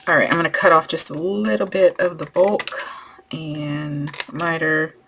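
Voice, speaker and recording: A woman hosting a craft tutorial, losing her voice and clearing her throat as she talks through each step while working. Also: low voice, steady tone, a little slow, a bit bright, slight background noise. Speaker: crafting channel host.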